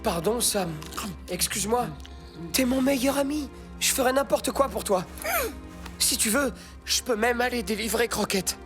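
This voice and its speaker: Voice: medium pitch, moderate pace, long pauses, faint music behind, natural voice. A performer voicing an earnest, scheming male cartoon fox who talks eagerly like a loyal friend. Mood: apologetic, pleading. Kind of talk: animated cartoon acting.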